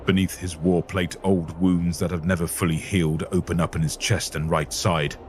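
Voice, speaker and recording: A man's voice: deep voice, level tone, moderate pace, a little hiss or hum. A man reading scripted, dramatic narration for an audio story.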